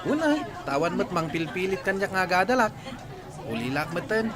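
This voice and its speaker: A man's voice: high-pitched, big pitch swings, brisk. An actor with a Filipino accent playing a teasing, easygoing young male friend in a radio drama. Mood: chiding. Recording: loud music and crowd behind.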